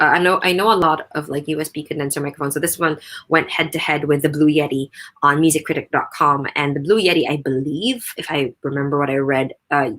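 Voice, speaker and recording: A young woman with a low mezzo voice, chatting loosely with lots of fillers while reviewing a microphone up close in a home room. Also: a bit bright. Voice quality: low mezzo voice, crisp consonants. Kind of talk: casual spoken review. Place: untreated home room, not soundproof. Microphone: studio USB condenser microphone close up.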